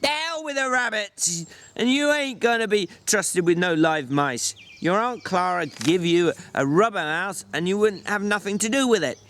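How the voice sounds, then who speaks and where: A man acts out a bossy, irritable working man from a dramatized novel. His voice is pitched up, brusque and scolding, that of a gruff protector. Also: expressive, moderate pace, fairly bright tone. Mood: exasperated. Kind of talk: dramatic audiobook reading.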